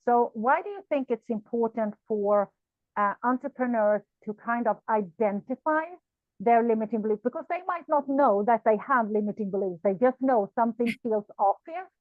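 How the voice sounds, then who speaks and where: A woman's voice: fairly high pitch, normal speed, no room sound, phone-quality sound. A woman from Sweden, a non-native English speaker, hosting an interview and talking in a thoughtful way, often repeating words as she searches for them. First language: Swedish. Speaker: podcast host. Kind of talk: conversational interview.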